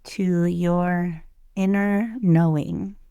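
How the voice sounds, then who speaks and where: A woman leading a guided meditation in a gentle, soothing, unhurried way, leaving long pauses between short phrases. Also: on the low side, fairly even intonation, smooth, no room sound. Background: loud meditation music with singing bowls.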